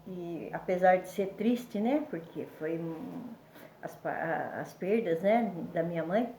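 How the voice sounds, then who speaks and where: Older woman from Brazil, slow rambling storyteller, slightly stuffy, echoey room. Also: fairly low pitch, mellow, slight background noise. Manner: rambling, with many fillers and self-corrections. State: congested, a bit under the weather. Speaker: vlogger telling family memories. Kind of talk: casual storytelling.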